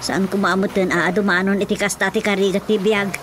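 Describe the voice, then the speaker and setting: A woman playing a worried, halting village mother in an Ilocano-accented radio drama. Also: mid-range voice, level tone, music in the background, lightly acted.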